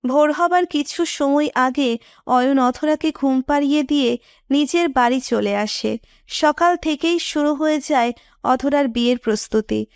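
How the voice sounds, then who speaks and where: A woman's voice: sits high, unhurried, no echo, studio quality. A woman reading scripted narration of a romantic story.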